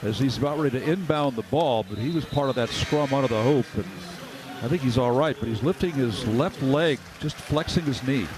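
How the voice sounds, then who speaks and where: A man's voice: mid-range voice, a little fast. An American man giving color commentary and analysis during a live sports broadcast. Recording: clear arena background noise.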